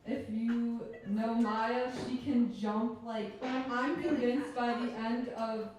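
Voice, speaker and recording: High-voiced teenage girl, very echoey room. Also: steady tone, takes it slowly. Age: teens. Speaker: high school basketball player, guest. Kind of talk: casual interview chat. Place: pub stage, live interview. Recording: echoey pub, distant mic, loud background noise.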